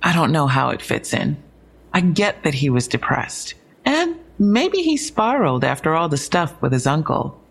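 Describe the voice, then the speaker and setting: A woman's voice: deep voice, very animated, moderate pace, gravelly, husky, full character voice. An actress playing a matter-of-fact young female police detective in an audio drama, briefing conversationally with dry, wry asides.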